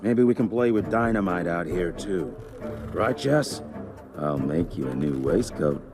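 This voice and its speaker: Grumbling, foul-mouthed old monster hunter. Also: male voice, deep voice, lively intonation, a little slow, over music. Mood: surly, mocking. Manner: sardonic, grumbling, profane. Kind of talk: video game cutscene acting.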